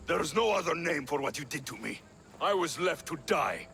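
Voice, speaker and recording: An actor playing a bitter, menacing young warrior in a video game, proud and wounded, with an intense, taunting delivery. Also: male voice, on the high side, expressive, moderate pace, sharp tone. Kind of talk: video game cutscene acting.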